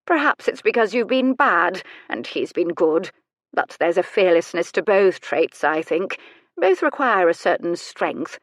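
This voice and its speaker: A woman acts out a bedridden old noblewoman from a storybook. She is haughty and sharp-tongued, teasing with dry, theatrical relish. The sound is close and dry, with a raised, emphatic delivery. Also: on the low side, big pitch swings, unhurried, no room sound, heavily acted. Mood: amused. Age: older. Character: elderly bedridden aristocratic lady, sharp-tongued and mischievous.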